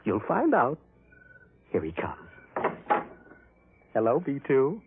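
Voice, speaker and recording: An actor playing a smug, scheming, breezily confident husband in theatrical 1950s radio drama style. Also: male voice, mid-range voice, wildly swooping pitch, takes it slowly, background noise, heavily acted. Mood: smug, pleased. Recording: muffled, narrow-band old radio recording.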